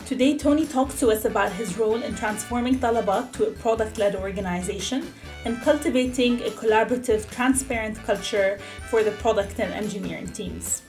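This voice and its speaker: A woman's voice: on the high side, a little fast, darkish tone, roomy sound, faint music behind. A woman hosting an interview podcast, reading a polished introduction and then asking questions conversationally. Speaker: podcast host.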